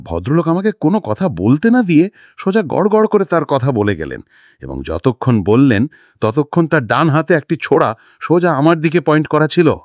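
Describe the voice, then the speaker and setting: Man acting out a nervous, talkative secretary, animated pitch. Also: normal speed, no room sound, studio quality. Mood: anxious, dramatic. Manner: dramatic, wordy, pleading. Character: nervous, talkative male secretary recounting a frightening night visit. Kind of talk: audiobook character dialogue.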